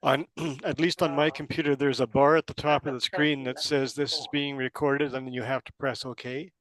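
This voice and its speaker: A man's voice: medium pitch, level tone, moderate pace, a bit bright, poor audio. A man taking part in an online workshop, who plainly and helpfully explains things over a video call. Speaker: workshop participant. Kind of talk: casual chat on a video call. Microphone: video call microphone.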